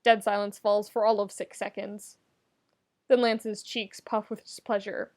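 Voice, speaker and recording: A woman reading comedic fiction aloud as a narrator, with an expressive, wry storytelling delivery. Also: medium pitch, a little slow. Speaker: podfic narrator.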